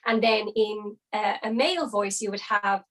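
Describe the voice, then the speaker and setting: A woman's voice: mid-range voice, normal speed, a bit bright. A young woman, an opera singer, chatting as a podcast guest and explaining things with lots of hedging fillers. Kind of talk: casual interview chat.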